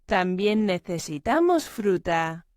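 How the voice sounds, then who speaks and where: A woman voicing Clara, a friendly housemate, speaking slowly and clearly in a scripted lesson dialogue. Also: lowish voice, big pitch swings, darkish tone, dry recording, studio quality. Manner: slow, clear, carefully enunciated. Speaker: learner dialogue character.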